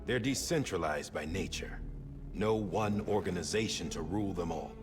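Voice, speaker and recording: An actor playing a solemn, persuasive middle-aged American government official in a video game, speaking in an earnest, deliberate way. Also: male voice, deep voice, lightly acted. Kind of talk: dramatic cutscene acting. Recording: music under the dialogue.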